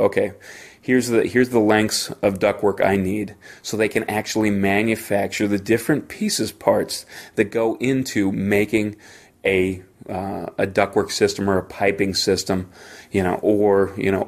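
A man with a fairly low voice hosts a podcast on his own. He talks informally and haltingly, working through his thoughts aloud and often circling back to rephrase. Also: vocal fry. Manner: halting, rambling, thinking aloud. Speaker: podcast host; engineer. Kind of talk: informal solo podcast monologue.

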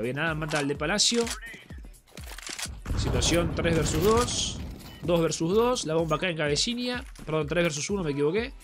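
A young man with a Rioplatense Spanish accent, congested from a cold, chatting casually with viewers while commenting on a game replay. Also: a little high. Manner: chatty, addresses viewers as friends. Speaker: gaming streamer. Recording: game music and gunfire behind.

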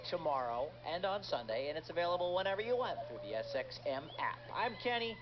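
A male radio host talking to kids, upbeat and chatty, with lively swings in pitch. The recording is dull, picked up off a speaker with clear noise around it. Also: fairly quick. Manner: animated, chatty. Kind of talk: upbeat kids' radio hosting.

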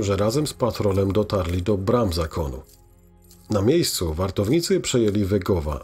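A man narrating a scripted fantasy audio drama in a measured, dramatic storytelling manner. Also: lowish voice, darkish tone.

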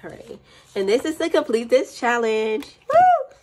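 A woman's voice: on the high side, wildly swooping pitch, measured pace, darkish tone. A young woman with a cold and a stuffy voice, chatting casually and counting money aloud in her budgeting vlog. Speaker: budgeting channel host. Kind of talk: casual chat while counting cash. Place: indoors at a desk.

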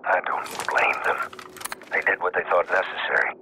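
An actor playing an ominous renegade colonel in a video game, speaking over a radio in an oratorical, philosophical manner. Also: male voice, deep voice. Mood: cold, solemn. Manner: deliberate, pompous, oratorical. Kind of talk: dramatic video game acting. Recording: radio transmission with faint noise.

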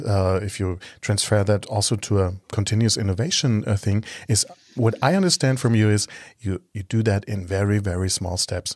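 A man hosting a conversational interview, speaking in halting, hesitant phrases. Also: fairly low pitch, no room sound, studio quality. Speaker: podcast host, interviewer.

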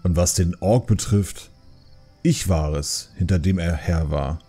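Man voicing a deep, husky pandaren uncle. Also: unhurried, mellow, faint music behind. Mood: insistent, defensive. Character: earnest, reasoning older male pandaren brewmaster, protective uncle.

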